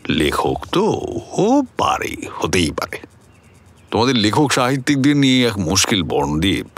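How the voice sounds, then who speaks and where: A man in a radio drama playing a genial older learned mentor, amused and assured, explaining things in an avuncular, expressive way. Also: lowish voice, a little slow, husky, full character voice. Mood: genial, expansive. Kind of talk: Bengali radio drama acting.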